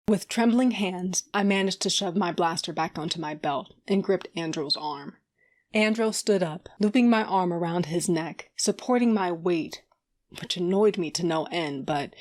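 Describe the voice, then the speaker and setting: A woman's voice: on the low side, moderate pace, darkish tone. A woman playing a stubborn, wounded fighter who narrates her own story in an audio drama. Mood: pained, determined. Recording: music underneath.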